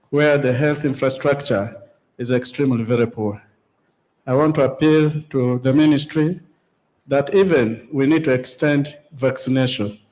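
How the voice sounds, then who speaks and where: A Ugandan man, a district chairperson, making a formal, measured comment from the floor of a conference hall. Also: medium pitch, a little mellow. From Uganda, West Nile. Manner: formal, measured appeal with pauses.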